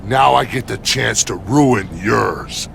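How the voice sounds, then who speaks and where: A man's voice: medium pitch, slow, gravelly, a bit bright, slight background noise, heavily acted. A man playing a vengeful, gloating rival pro wrestler in a video game, mocking and taunting. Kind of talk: scripted video game cutscene acting.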